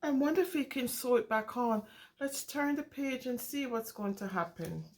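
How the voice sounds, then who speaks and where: A woman's voice: fairly high pitch, expressive, moderate pace, darkish tone. A woman reading a picture book aloud to young children in an exclamatory, singsong way, often putting questions to the listeners. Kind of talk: animated interactive read-aloud for young children.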